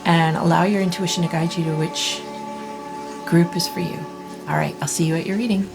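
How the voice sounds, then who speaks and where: Tarot reader, woman in her 30s, low gravelly voice going hoarse, gentle reassuring delivery, faint music underneath. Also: fairly even intonation. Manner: soft, slow, reassuring delivery with many restarts. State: losing her voice, clearing throat. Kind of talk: pick-a-card tarot reading.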